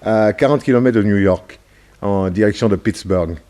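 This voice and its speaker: Casting: actor as fussy middle-aged industrialist, anxious and halting, lowish voice, vintage radio drama. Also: male voice, dark tone, natural voice. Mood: eager. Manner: hesitant, stammering, fussy. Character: worried, hesitant wealthy businessman under death threat. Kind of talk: 1960s French radio serial acting.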